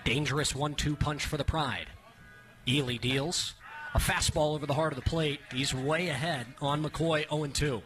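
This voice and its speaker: Male radio baseball announcer, bright forward voice, clipped play-by-play delivery that lifts with the action. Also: on the high side, moderate pace, faint music behind. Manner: clipped descriptive phrases, rising excitement on action. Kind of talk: radio sports play-by-play commentary.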